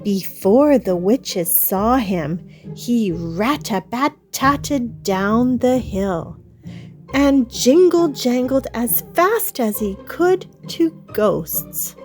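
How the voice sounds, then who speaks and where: A woman reading a children's story aloud as an expressive, dramatic storyteller. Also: a little high, very slow, faint music behind. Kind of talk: expressive read-aloud narration.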